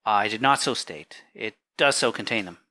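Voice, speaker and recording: A man's voice: on the low side, bright, forceful tone. A man playing a careful, polite surveyor giving precise testimony in an 1893 trial drama. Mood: careful, matter-of-fact. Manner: measured, literal, polite. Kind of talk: dramatic courtroom reenactment.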